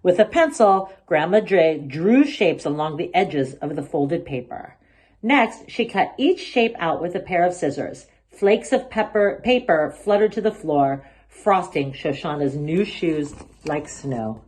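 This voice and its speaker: A woman reads a children's story aloud in an expressive storytelling style. Her voice is slightly low, and her pitch moves with very lively variation from phrase to phrase. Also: a little slow. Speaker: storybook reader.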